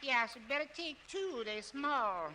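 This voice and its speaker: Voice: high-pitched, lively intonation, takes it slowly. An actor playing a deferential, unflappable, folksy train porter in 1940s radio comedy style. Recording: old radio recording, faint noise.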